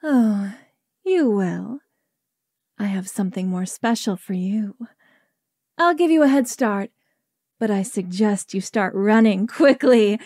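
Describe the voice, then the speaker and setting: Actress as gleeful alien killer in office disguise, animated and unhurried, taunting, audio drama. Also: female voice, fairly high pitch, breathy edge, no echo, studio quality, exaggerated voice. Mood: gleeful menace. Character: murderous disguised alien posing as conference presenter, gleefully cruel.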